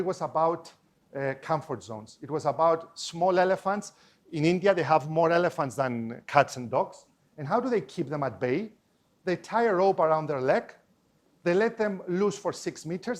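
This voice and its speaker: A man from Cyprus with a Cypriot Greek accent, a native Greek speaker, telling a story in a lively stage talk and acting out the dialogue. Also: a little high. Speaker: public speaker.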